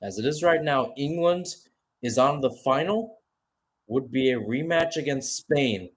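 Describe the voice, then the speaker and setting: A man's voice: medium pitch, lively intonation, a little slow. A man giving unscripted live play-by-play football commentary in a conversational, analytical way.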